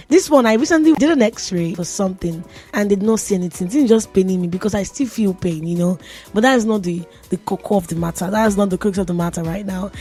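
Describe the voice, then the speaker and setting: A woman's voice: mid-range voice, fairly quick, dark tone, faint music behind. A woman with a Nigerian accent hosting a radio show, talking in a chatty, playful way and often laughing. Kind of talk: casual radio tech talk. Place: radio studio.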